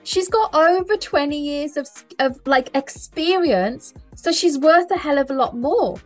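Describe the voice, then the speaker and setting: A woman with a fairly high voice talks as a mindset coach in an easygoing podcast conversation. She is earnest and emphatic, and she circles back to key phrases for stress. Faint music plays softly underneath. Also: animated, measured pace.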